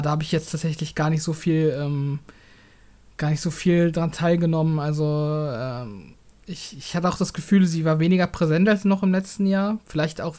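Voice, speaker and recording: A young man co-hosts a relaxed podcast chat. His voice sits a little higher than most men's. He hesitates often, filling gaps with ums as he works out his thoughts. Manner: hesitant, many ums and restarts. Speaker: podcast co-host.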